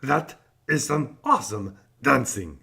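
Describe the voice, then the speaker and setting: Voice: mid-range voice, animated, measured pace, creaky, pushed and bright, husky. A host of a children's channel chatting in simple language to young viewers. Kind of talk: children's video chat.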